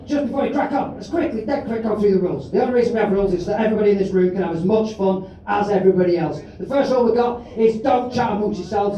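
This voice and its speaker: A man's voice: high voice, mellow, lo-fi sound. A sweary man, hosting a live stand-up night and teasing the crowd. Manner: brash, sweary, teasing the audience. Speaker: comedy compere. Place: live comedy club. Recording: echoey room, distant mic, crowd noise.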